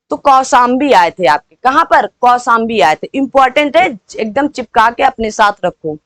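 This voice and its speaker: A woman teaching an exam-preparation lesson, repeating points and prompting listeners with quick rhetorical questions. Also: high-pitched, a bit bright, no room sound.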